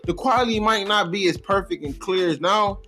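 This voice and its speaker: An American man with a high voice talks casually as a podcast host. He is animated and slangy, swears freely and drifts through memories. Faint music plays behind him. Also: fairly quick.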